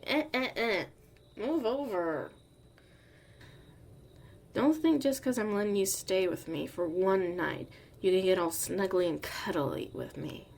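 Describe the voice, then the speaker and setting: A woman acting a gruff, guarded but secretly caring young mage mentor in a zombie apocalypse ASMR roleplay, soft-spoken and often near a whisper. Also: mid-range voice, slight background noise. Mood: grumpy, weary.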